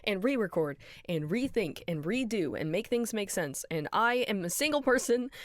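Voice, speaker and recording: A woman rambling casually about her fan project, tired and apologetic, hoarse and nasal from being sick, on a close home mic. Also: mid-range voice, animated, moderate pace, no echo. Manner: rambling, apologetic, tired, self-deprecating laughs. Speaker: fan animator and voice actor. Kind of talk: casual behind-the-scenes rambling.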